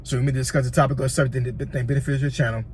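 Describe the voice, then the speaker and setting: A man's voice: mid-range voice, flat delivery, very fast, darkish tone, slight background noise. A man with a Southern accent from Virginia giving casual, rambling tips as a YouTuber, often repeating himself and checking in with "you know what I'm saying.". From Virginia, USA. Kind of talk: casual tutorial talk.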